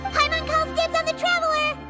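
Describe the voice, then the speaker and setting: A woman voicing a tiny, excitable, childlike fairy companion in a video game, with a squeaky, bubbly delivery. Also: fairly quick. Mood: excited. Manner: bubbly, speaks of herself in third person. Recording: music behind the voice.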